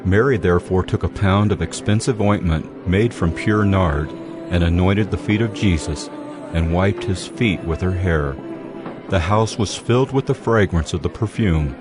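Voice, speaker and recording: A male narrator reading scripture in a calm, even way. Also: sits low, moderate pace, music in the background.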